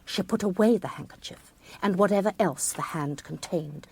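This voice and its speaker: An actress playing a sharp-eyed, wry former schoolmistress, telling a mystery in a precise, measured way for radio drama. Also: female voice, lowish voice, breathy edge. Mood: wry, reflective. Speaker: narrator and lead character. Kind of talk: first-person dramatised narration, radio drama.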